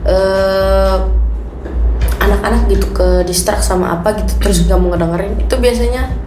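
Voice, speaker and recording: Mid-pitched woman, echoey room. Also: steady tone, normal speed. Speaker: radio talk show participant. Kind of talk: casual chat. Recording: echoey room, clear music behind.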